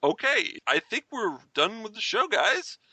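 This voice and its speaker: A man chats casually as a podcast host running through event plugs. His voice sits a little high and is very bright and forceful, with noticeable creak. The sound is dry and close. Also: very animated, unhurried, no room sound. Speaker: podcast host and convention merchandise seller.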